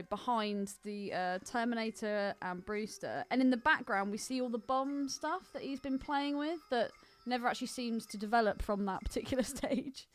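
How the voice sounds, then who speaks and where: A British woman co-hosting a casual film podcast in a blunt, irreverent, sweary way. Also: on the high side. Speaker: podcast co-host.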